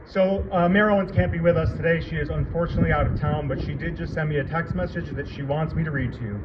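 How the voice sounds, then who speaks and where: Male local speaker, higher than average, informal address; dull recording with loud traffic noise. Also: steady tone, fast talker. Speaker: local council leader and ceremony speaker. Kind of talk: informal public speech. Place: outdoor public ceremony at a city hall. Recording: muffled, very noisy outdoor recording, traffic.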